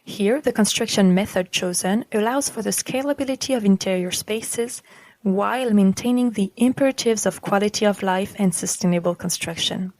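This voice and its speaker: A woman narrates from a script as a podcast presenter. Her pitch sits in the middle range and her delivery is formal and measured. The sound is dry and close, with very little room. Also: darkish tone, no room sound, poor audio. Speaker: podcast presenter reading a text.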